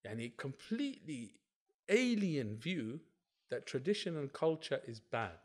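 A man explaining his view as a religious scholar in a discussion, in short, emphatic, deliberate phrases. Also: mid-range voice, sing-song, husky. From Britain.

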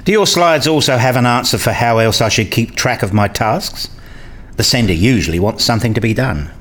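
A man's voice: mid-range voice, a little fast, fairly bright tone, natural voice. A man acting a frustrated, restless academic who narrates his dreary days in a radio play, wry and grumbling. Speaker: narrator and lead character. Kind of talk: first-person radio play narration and dialogue.

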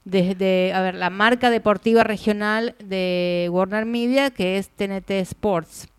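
An Argentine woman co-hosting a casual industry chat, giving news in a measured way with fillers. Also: mid-range voice, fairly even intonation, clear, resonant tone, no room sound. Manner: measured, informative, hesitant fillers. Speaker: co-host reporter.

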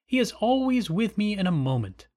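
A man voicing a gentle, innocent young maiden who speaks softly and eagerly. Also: high voice, very animated, normal speed, voice pushed up.

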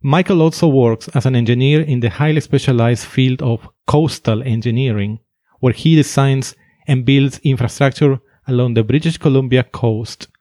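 Man, Finnish accent, interview host, mid pitch, hesitant, dry sound, faint music behind. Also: normal speed, dark tone, dry recording. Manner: hesitant, laughs often. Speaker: podcast host. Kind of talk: interview hosting.

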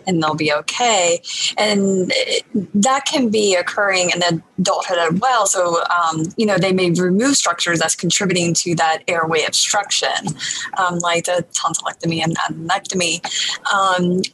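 A woman's voice: lowish voice, measured pace, fairly bright tone, slight background noise, rough recording. A woman researcher talking about swallowing research in an easygoing, friendly way. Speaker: speech pathology researcher. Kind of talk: academic discussion.

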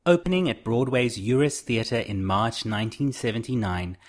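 A man narrating a documentary in a measured, informative way. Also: medium pitch. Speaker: narrator host.

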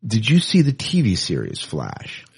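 Low, husky-voiced man chatting. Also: wildly swooping pitch, unhurried. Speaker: podcast host. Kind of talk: casual movie news chat.

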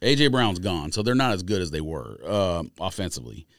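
A man's voice: deep voice, big pitch swings, fairly quick, dry recording. A man co-hosting a casual sports podcast, giving analysis in a blunt, insistent, argumentative way. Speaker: podcast co-host.

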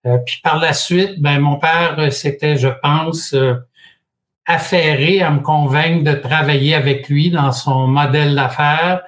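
A man in his sixties from Quebec with a Québécois French accent, a guest in an interview, telling his story in a measured way with frequent hesitations and dry humor. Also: a little high, steady tone, a bit bright. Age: 60s. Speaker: guest, franchise expert.

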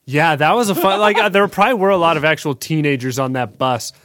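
A man's voice: fairly high pitch, fast, fairly bright tone, dry recording, clean studio sound. A man co-hosting a podcast, chatting casually in a wry, joking way. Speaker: podcast co-host. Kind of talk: casual chat.

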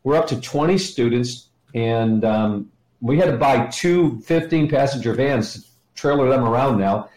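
A man's voice: mid-range voice, unhurried, a little mellow. A middle-aged American man teaching conversationally as an interview guest, enthusiastic and repeating himself for emphasis. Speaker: interview guest, ministry educator. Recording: roomy, echoing sound.

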